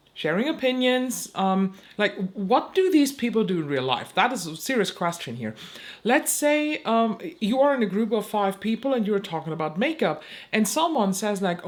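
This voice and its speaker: A young woman, a beauty creator giving blunt opinions to camera, swearing casually and stumbling over words now and then. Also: medium pitch. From Germany. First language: German.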